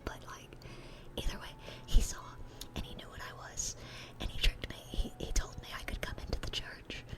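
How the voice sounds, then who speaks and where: A woman voicing a sweet, dorky demon girl in a whispered ASMR roleplay, rambling and stammering in an eager, awkward way. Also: lightly acted. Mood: confiding, animated.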